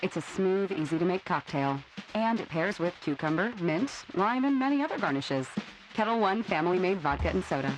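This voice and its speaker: A woman's voice: fairly low pitch, animated, fairly quick, poor audio. A woman reading a scripted radio drinks commercial as a voice-over announcer. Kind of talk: scripted drinks advert narration. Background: faint music bed.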